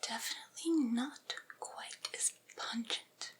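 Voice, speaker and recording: Whispering woman, slow soothing ASMR roleplay. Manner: soothing, coaxing, gently reassuring. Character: doting, patient female vampire's familiar soothing their master.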